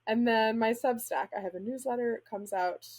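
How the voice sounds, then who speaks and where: A woman's voice: fairly high pitch, a little fast, a little mellow. A middle-aged woman from Spain chatting casually as an interview guest, talking in an informal, self-deprecating way. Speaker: guest author and literary agent.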